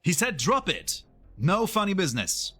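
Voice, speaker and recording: A man playing an edgy, eager henchman in an amateur game fan dub, with a jumpy, animated way of talking. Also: high-pitched, normal speed, slightly rough, soft background music, full character voice.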